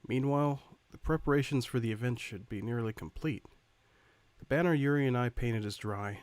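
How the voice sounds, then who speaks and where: A man playing an earnest, worried high school student who narrates a visual novel in the first person. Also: fairly quick, a little mellow, no echo, close to an everyday voice. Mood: matter-of-fact.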